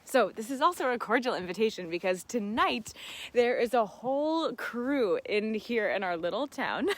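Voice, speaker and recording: A woman's voice: on the high side, lively intonation, moderate pace, dry recording. A woman hosting a casual live Q and A, chatting earnestly and reflectively to listeners as friends, often with laughter in her talk. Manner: laughs often while talking. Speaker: host of a live Q and A. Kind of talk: casual livestream chat with reflective asides.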